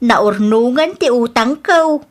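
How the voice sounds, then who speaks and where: A woman's voice: fairly high pitch, lively intonation, slow pace. A woman playing a lovelorn, apologetic widowed mother in an audio drama, pleading in a plaintive way. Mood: ashamed, sorrowful.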